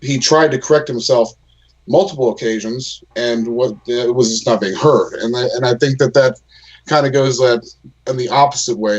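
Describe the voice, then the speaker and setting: A man's voice: mid-range voice, a little mellow. A man hosting a casual talk show, explaining his view earnestly and at length with restarts and fillers. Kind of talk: casual chat. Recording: lo-fi dull sound.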